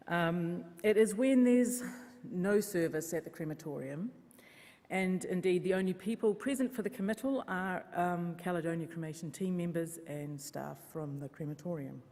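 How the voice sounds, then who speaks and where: A woman with a New Zealand accent explains her funeral service work clearly, with a touch of self-mockery. Her voice sits a little low. A long hall reverb carries after her words. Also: moderate pace, a little mellow. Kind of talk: open mic conference talk. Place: church hall conference.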